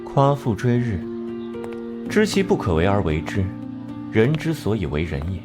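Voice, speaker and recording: An actor playing a cold, aloof and exacting detective consultant in an animated crime drama, speaking in formal, clipped, literary phrases. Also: male voice, fairly low pitch, normal speed, over music. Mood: solemn, aloof.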